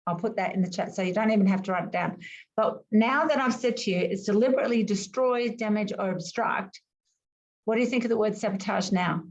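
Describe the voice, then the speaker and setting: A woman whose first languages were Greek and Arabic, teaching an online class over a video call in a lively, emphatic way full of rhetorical questions. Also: mid-range voice, fairly quick, lo-fi sound.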